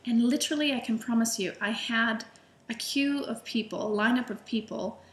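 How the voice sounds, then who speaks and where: A woman's voice: fairly high pitch, moderate pace, reverberant. A middle-aged woman telling a personal story to camera in a chatty, enthusiastic way, with fillers and restarts. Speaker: illustrator and food vlogger.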